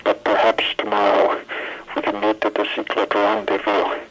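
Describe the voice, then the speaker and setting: A man playing a secretive physics professor in a 1980s audio drama, speaking in a measured, formal and guarded way over the telephone. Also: lowish voice, completely flat delivery, a bit bright, highs cut off, slight background noise, lightly acted.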